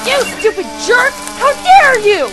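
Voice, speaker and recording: A loyal little fox in an audio drama. The voice is piercingly high and slow, shrill and desperate. Loud music sits behind it. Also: female voice, sing-song, exaggerated voice. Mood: outraged, desperate. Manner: shrill, outraged. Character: small young fox, desperate loyal friend. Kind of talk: amateur audio drama acting.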